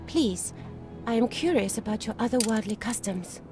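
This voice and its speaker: An actress playing a curious foreign princess in a video game, courteous and formal. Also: female voice, fairly high pitch, lively intonation, moderate pace, over music, lightly acted.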